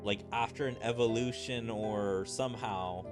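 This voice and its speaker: A man's voice: fairly low pitch, unhurried, over music. A man giving casual commentary as he plays and reads through a story game. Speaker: gaming streamer reading game dialogue aloud. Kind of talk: casual commentary and dramatic read-aloud of game story text.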